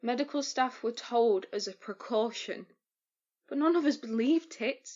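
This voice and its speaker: An actress playing a shaken but firm medical worker burdened by guilt, in a naturalistic audio drama. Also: female voice, a little high, a little slow, a little mellow, muffled recording. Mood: firm, uneasy.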